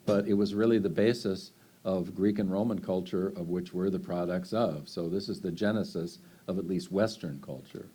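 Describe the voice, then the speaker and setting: A man's voice: low-pitched, moderate pace, dark tone, breathy edge. A man, answering audience questions in a loose, rambling way full of fillers and restarts. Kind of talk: unscripted Q&A answers.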